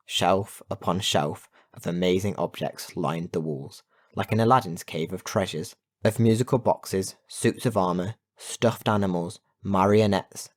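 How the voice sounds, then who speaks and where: A man reading a children's adventure story as an audiobook narrator, in an expressive storytelling manner. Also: low voice. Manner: expressive storytelling with put-on character voices.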